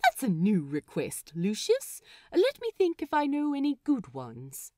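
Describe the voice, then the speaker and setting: Genteel pirate captain, female reader, eager storyteller, theatrical, swooping pitch, fussy and cheerful. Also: wildly swooping pitch, measured pace, dark tone, no echo, studio quality, full character voice. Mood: pleased. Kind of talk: character voice in fan fiction audiobook.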